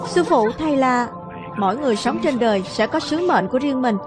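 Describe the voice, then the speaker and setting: A woman dubbing the characters of an animated film, with music behind her. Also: sits high, brisk. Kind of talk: dubbing all roles of an animated film in Vietnamese.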